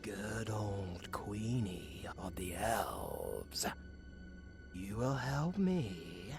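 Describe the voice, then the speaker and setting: A British undead necromancer, wicked and flirtatious, in an intimate audio roleplay. The voice is thick with gravelly creak and swoops wildly from breathy, taunting whispers to theatrical shouts. It takes its time, drawing words out very slowly over faint music. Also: male voice, on the low side, very animated, exaggerated voice. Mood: scheming. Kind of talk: ASMR audio roleplay acting.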